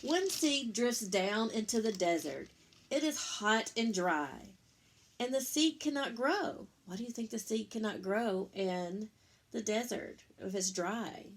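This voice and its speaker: A woman reading a picture book aloud to young children in an expressive, explanatory teacher's manner. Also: fairly high pitch, a little hiss or hum. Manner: expressive, pausing to explain and pose simple questions. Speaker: storytime reader, teacher.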